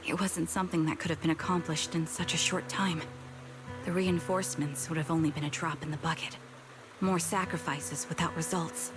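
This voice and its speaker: An actress playing a composed, rational military veteran woman in a video game, speaking in a calm, deliberate, matter-of-fact way. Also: lowish voice, close to an everyday voice. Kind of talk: scripted video game story acting.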